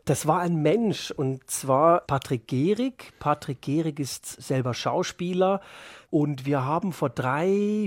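A male writer talks as an interview guest. His voice is slightly high and recorded very dry. He answers reflectively, stretching the words he stresses. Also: no room sound.

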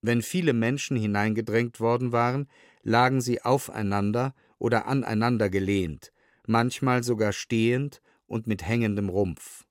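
A man reading a scripted documentary audiobook in a sober, measured way, close to a studio microphone. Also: mid-range voice, no room sound. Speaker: reader of historical testimony.

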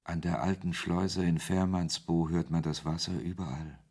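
An actor playing a wry author who narrates his story in a measured, literary way in a German radio play. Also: deep voice, level tone, a little mellow, slightly rough.

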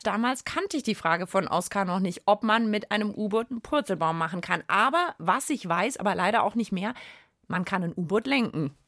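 Eine Frau mit süddeutscher Färbung, die als Moderatorin eines Kinderpodcasts anschaulich plaudert und erklärt. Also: female voice, mid-range voice, animated, a little fast, a bit bright, no echo, studio quality. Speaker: host of children's science podcast. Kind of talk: lively explanatory chat.

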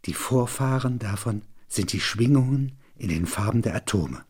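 A man narrating literary and philosophical reflections in measured, deliberate phrases. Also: medium pitch, expressive, darkish tone, husky.

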